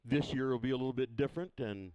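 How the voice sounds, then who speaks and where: A man broadcasting a high school baseball game from a ballfield press box, talking in a folksy, unhurried way with many fillers and restarts. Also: a little mellow, clean tone, no echo, lo-fi sound. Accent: Missouri. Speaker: play-by-play broadcaster. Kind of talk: casual local sports broadcast.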